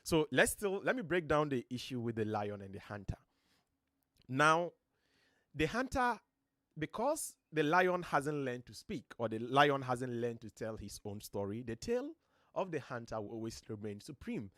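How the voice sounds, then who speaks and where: A Ghanaian man with a deep voice, giving earnest, motivational talk as host of a live stream from his home studio, his voice a little strained. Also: sing-song, normal speed, a bit bright, no room sound. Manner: earnest, motivational, emphatic rises. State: voice slightly strained.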